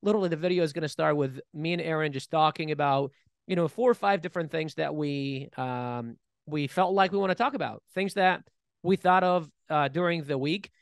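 A man in his thirties co-hosting a casual podcast, talking in an animated way with many restarts, recorded on a studio mic. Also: on the high side, a little fast, gravelly, smooth, no echo. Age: 30s. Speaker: podcast co-host. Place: bright studio room, seated in armchair. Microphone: boom-mounted studio mic.

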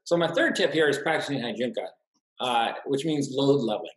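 A middle-aged man walks through his slides on a video call with a mid-pitched, practical delivery. He restarts words and leans on "uh" fillers. The webcam audio has a noticeable room echo. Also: expressive, brisk. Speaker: farmer giving a webinar talk. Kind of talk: slide presentation lecture. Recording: roomy echoey webcam audio.

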